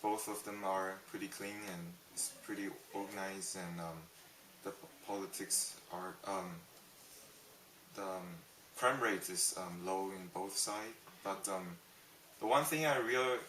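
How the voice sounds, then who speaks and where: Hesitant young man, bright voice, roomy and distant. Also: low voice, unhurried, a little hiss or hum. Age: young adult. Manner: hesitant, many ums and restarts. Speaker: interviewee. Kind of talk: casual interview answers.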